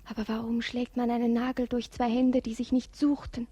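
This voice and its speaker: Wistful young princess, mellow husky voice, lyrical and hushed, 1950s radio-play style. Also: female voice, fairly high pitch, little pitch movement, moderate pace, dry recording. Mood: anguished. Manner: dreamy and lyrical, often sinking to a breathy whisper. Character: melancholy young princess fleeing an arranged marriage.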